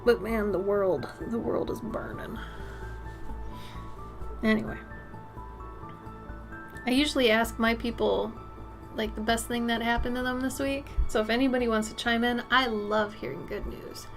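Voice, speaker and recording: A woman in her late thirties talks casually with her stream chat while she paints, frank and rambling, her voice sitting a little high. Soft music runs faintly behind her. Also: steady tone, unhurried, pauses often. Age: 30s. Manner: chatty, reads and answers chat, frank and loose.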